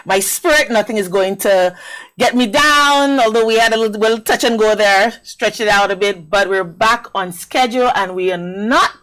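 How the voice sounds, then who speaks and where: A woman's voice: medium pitch, moderate pace, fairly bright tone, low-quality recording. A woman hosting a TV talk show, bubbly and giggly.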